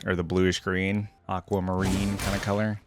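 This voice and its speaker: Low-voiced man reacting casually over music. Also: flat delivery, normal speed. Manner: informal, exclamatory, thinking aloud. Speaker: reaction video host. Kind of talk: casual live reaction commentary. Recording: music playing behind the voice. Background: game music and sound effects.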